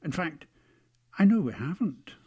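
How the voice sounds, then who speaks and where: A man's voice: on the high side, animated, measured pace, breathy edge, muffled recording, pitched above the performer's own voice. A man voicing a round, politely formal menswear shopkeeper in a story, with a deferential manner. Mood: polite, regretful.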